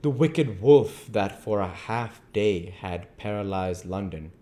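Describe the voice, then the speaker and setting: A man reading a classic novel aloud as an amateur narrator, measured, with the odd stumble. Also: fairly low pitch, dark tone. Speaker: amateur book reader.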